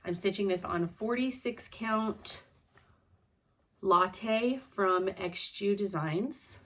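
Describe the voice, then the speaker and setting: A woman's voice: medium pitch, drawn-out words. A stuffed-up woman in casual, rambling chat about her stitching projects, chatty and apologetic. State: congested, stuffed up. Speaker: cross-stitch vlogger.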